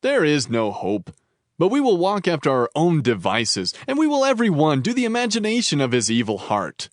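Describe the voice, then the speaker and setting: A man's voice: on the high side, wildly swooping pitch, normal speed, no room sound, studio quality. A man playing a defiant, stubborn sinner in a dramatized scripture reading, declamatory in manner.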